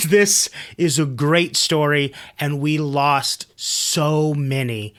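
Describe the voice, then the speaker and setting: A man's voice: medium pitch, fairly bright tone. A man hosting a storytelling show, talking casually and informally with commentary of his own.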